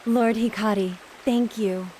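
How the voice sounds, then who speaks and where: A woman as an earnest high-voiced townswoman. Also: fairly even intonation, measured pace, warm tone, a little hiss or hum, lightly acted, low-quality recording. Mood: grateful. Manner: polite, sincere. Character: earnest, respectful young townswoman. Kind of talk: scripted video game voice acting.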